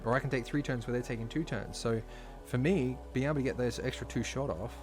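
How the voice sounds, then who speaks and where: A man talking casually while live-streaming a game, slightly hoarse, chatty and full of fillers, from a home streaming setup. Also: medium pitch, fairly even intonation, quick pace. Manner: chatty, filler-heavy, talks while playing. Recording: clear background music under voice.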